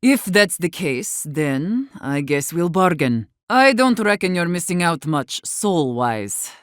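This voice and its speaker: Low-voiced, blunt, embittered warden leader. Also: female voice, big pitch swings, slow, no echo, studio quality. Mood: resigned, somber. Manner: blunt, guarded, sharp-tongued, swearing. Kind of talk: video game character dialogue.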